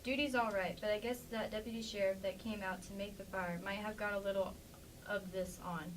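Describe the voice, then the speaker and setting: A woman's voice: medium pitch, fairly even intonation, brisk, lightly acted. Woman reading as a plainspoken farm wife, slightly distant. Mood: guarded, defensive. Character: plainspoken, defensive Midwestern farmer's wife and neighbor. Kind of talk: amateur staged play reading. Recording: distant mic with faint background noise.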